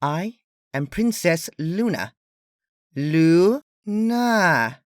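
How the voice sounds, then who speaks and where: A man's voice: sits high, animated, very slow, dry recording, clean studio sound, pitched above the performer's own voice, full character voice. A man voicing a haughty female pony princess with imperious, huffy, formal royal diction in a storybook reading. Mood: indignant.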